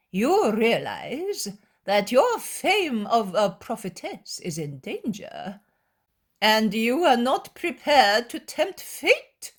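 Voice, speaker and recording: Lady doing a nasal drawly scribe guy, sneery, higher and louder than her narrating. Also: heavily acted. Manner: mocking, accusing.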